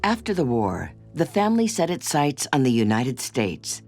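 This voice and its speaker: A documentary narrator delivers scripted narration with a lively, rising and falling intonation. Clear music plays underneath the whole read. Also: medium pitch, moderate pace.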